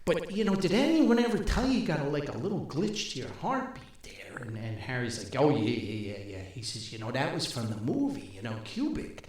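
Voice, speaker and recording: A man's voice: mid-range voice, sing-song, fairly quick, hardly any pauses, a little mellow. An older man hosting a podcast, telling humorous anecdotes in a folksy way and acting out the dialogue. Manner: folksy, chuckles often, acts out dialogue. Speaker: podcast host. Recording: very roomy, echoing sound.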